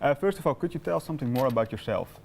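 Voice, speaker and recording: A man's voice: medium pitch, lively intonation, fast talker, vocal fry, slight background noise. Young male host, studio presenter, reads from cards. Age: young adult. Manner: reads from cards, some restarts. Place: small studio set.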